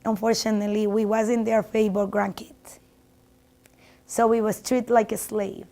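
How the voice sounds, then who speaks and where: A woman's voice: medium pitch, level tone, moderate pace, warm tone. A woman in her fifties from El Salvador with a Salvadoran accent, Spanish her first language, speaking in a heartfelt, reflective way in a documentary interview, often restarting her phrases. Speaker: barber shop owner.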